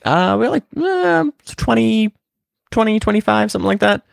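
A man's voice: sits high, sing-song, normal speed, vocal fry, no echo, studio quality. A man co-hosting a podcast, talking casually and rambling with lots of fillers. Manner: rambling, with many fillers and restarts. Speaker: podcast co-host. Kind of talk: casual chat.

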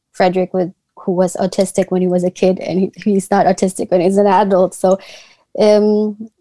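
A woman in her thirties from the Philippines talks as an interview guest, often pausing and restarting her sentences. Her voice is mellow and soft-edged, and the recording is dry and close, with no room sound. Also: mid-range voice, dry recording. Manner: hesitant, many restarts and fillers. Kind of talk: casual interview conversation.

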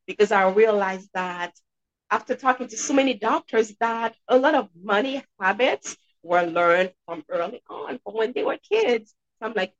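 Higher-pitched Haitian-accented woman, slightly dull recording. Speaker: podcast guest, dentist and debt coach. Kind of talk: conversational interview answers.

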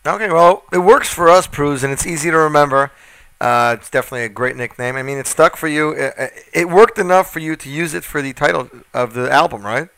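A man's voice: fairly high pitch, brisk, a bit bright, clean tone, dry recording. A man hosting a radio interview, chatty and enthusiastic, asking questions in stacks.

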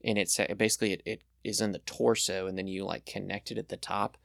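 Young guy w a deep gravelly voice, excited rambling, lots of likes and ums. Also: quick pace, no echo, clean studio sound. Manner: rambling, enthusiastic, many fillers. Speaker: podcast guest, pilot. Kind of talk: casual chat.

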